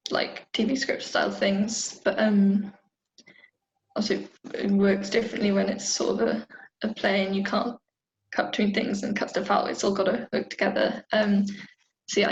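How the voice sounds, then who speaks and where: A woman's voice: medium pitch, vocal fry. A teenage girl with a Welsh accent giving casual interview answers as a young writer. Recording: muffled, echoey room.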